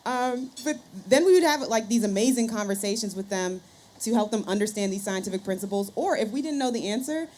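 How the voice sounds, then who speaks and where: Female panel guest. Conversational, animated storyteller, medium pitch, live-event ambience with light noise behind. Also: a little fast. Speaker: podcaster and scientist, panel guest.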